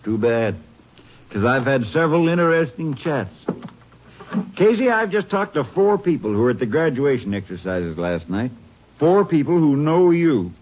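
Actor playing a blunt sheriff with animated pitch. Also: male voice, normal speed, a little hiss or hum, lightly acted. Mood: pointed. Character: blunt, folksy male small-town sheriff. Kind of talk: 1950s western radio drama acting. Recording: muffled vintage radio recording.

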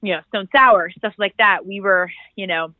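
A woman's voice: mid-range voice, moderate pace, no echo. A woman from the Philadelphia area, stuffed up and nasal with a cold, chatting through her career story as a music publicist in an interview. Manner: chatty, rambling with fillers and restarts. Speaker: music publicist, podcast guest. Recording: tinny phone-like line.

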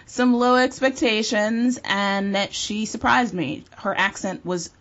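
A woman's voice: mid-range voice, unhurried, a bit bright. A woman giving emphatic, opinionated views in a casual film discussion, as a panelist. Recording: dull, muffled lo-fi audio.

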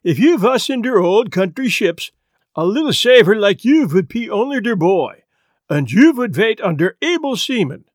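Man putting on a gruff old Swedish seaman, boastful and touchy, thick Swedish accent, lively swooping pitch, dry close sound. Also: moderate pace, no room sound. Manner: thick put-on accent, blustering.

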